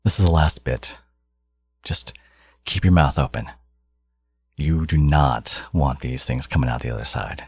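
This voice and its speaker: A man playing a smug, possessive supervillain genius in an intimate audio roleplay, taunting his hero in a theatrical, teasing drawl with a gravelly, husky voice. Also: very deep voice, measured pace, long pauses, a little mellow, no room sound, heavily acted. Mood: teasing, menacing.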